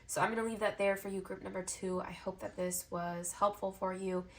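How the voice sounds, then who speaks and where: A woman with a stuffy, congested nose, giving a pick-a-card tarot reading to camera in a soft, encouraging way, with repeated phrases in flowing lists. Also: medium pitch, little pitch movement, a little fast. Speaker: tarot reader.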